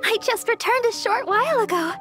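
A young church sister in an anime game. Her voice is very high, light and girlish, with a sweet, eager, polite manner. Faint music sits behind her. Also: brisk. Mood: cheerful, helpful.

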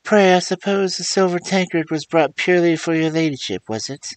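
A man voicing a rude, saucy young girl in a read-aloud fairy tale, raising his voice higher. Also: takes it slowly, a little mellow, close to an everyday voice. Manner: pert and saucy. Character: rude, ill-bred proud young girl.

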